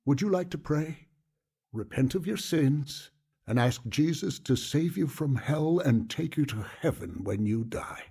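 An actor playing a patient male pastor in an audio drama, earnest and gently instructive with a husky voice. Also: a little high, lively intonation, unhurried, exaggerated voice. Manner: earnest, gently instructive, quotes scripture solemnly. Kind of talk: audio drama dialogue, preaching.

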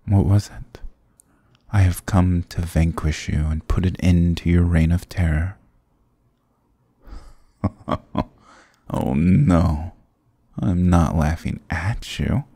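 A smug demon king, acted by a man, toys with his captive in an intimate ASMR roleplay. His voice is extremely low and gravelly, recorded close and dry. He is sarcastic, dominant and teasingly condescending. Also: dark tone, no room sound, heavily acted. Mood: amused, teasing. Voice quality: very deep, gravelly, breathy.

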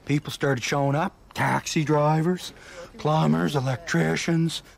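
A man's voice: on the high side, fairly even intonation, measured pace, gravelly. An actor playing a wry, foul-mouthed trailer park man making sarcastic asides. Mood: narrating.

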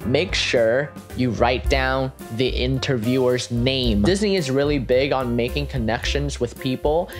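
A man's voice: medium pitch, measured pace, few pauses. A young American man giving conversational, scripted advice to camera in an upbeat, emphatic, friendly way. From United States (California). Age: young adult. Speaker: vlogger. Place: small home room at a desk, indoors. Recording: music behind the voice. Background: guitar music.

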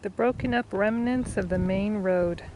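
A woman's voice: medium pitch, moderate pace. A woman chatting cheerfully on an outdoor walk, reading old signs aloud and commenting on the scenery. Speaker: vlog co-host. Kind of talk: casual travel vlog chat. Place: outdoors on hiking trails. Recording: outdoor camera audio with wind noise.

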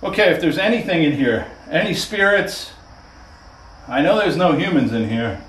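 A middle-aged man with a Southern US accent, filming himself alone at night in an abandoned wooden shed and talking to his viewers in a hushed, jittery way. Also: fairly high pitch, expressive, normal speed, rough recording. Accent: Floridian American. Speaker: paranormal explorer vlogger. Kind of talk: solo ghost-hunting vlog.

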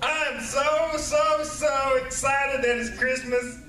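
A man's voice: extremely high pitch, steady tone, slow pace, music in the background. A man voicing an eager, rambling boy puppet, who can't wait for his Christmas presents. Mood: excited. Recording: echoey sanctuary, distant camera, noise.

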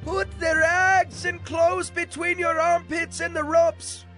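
An actor playing an earnest, pleading eunuch of a royal court in a scripture drama. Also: male voice, very high-pitched, flat delivery, slow, bright, forceful tone, soft background music, full character voice. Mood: instructing.

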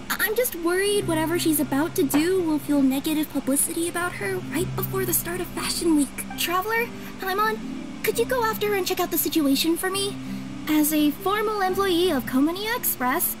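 A woman's voice: very high-pitched, moderate pace, poor audio. A woman voicing a sweet, earnest young cat-girl courier in an anime game, polite and inclined to worry. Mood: worried. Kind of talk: anime video game dialogue. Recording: loud game music behind.

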